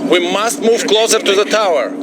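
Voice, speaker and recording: An actor playing an eager Soviet trooper in a strategy game, reporting in clipped military phrases. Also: male voice, sits high, lively intonation, brisk. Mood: dutiful. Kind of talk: video game unit voice acting. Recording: very noisy game battle audio.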